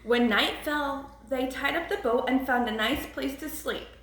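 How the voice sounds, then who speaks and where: A woman reading a picture book aloud with expressive, emphatic storybook narration. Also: high voice, measured pace, reverberant, low-quality recording.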